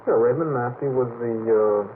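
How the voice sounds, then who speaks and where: A man's voice: medium pitch, moderate pace. A man in a casual radio panel discussion, interjecting and searching for his words. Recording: noisy vintage radio, narrow tinny band.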